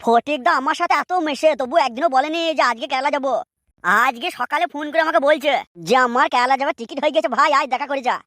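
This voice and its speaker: A woman's voice: sits high, quick pace, fairly bright tone, no room sound, studio quality. A woman voicing a brazen, sly village man carrying on a secret affair in a comic cartoon, talking in a cheeky, colloquial way. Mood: sly, eager.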